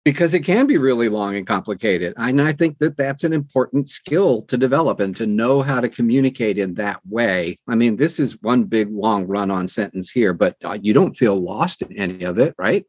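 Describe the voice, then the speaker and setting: An older man who narrates audiobooks, talking conversationally in an interview with measured, emphatic phrasing and deliberate pauses. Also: fairly low pitch, animated, a little mellow, no room sound.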